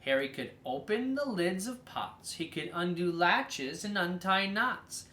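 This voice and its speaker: Someone reading a kids book out loud, super expressive and swoopy, takes their time, echoey room. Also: medium pitch, a little hiss or hum. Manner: theatrical, slow and drawn-out with emphatic stresses. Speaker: read-aloud host. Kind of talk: expressive children's storybook read-aloud.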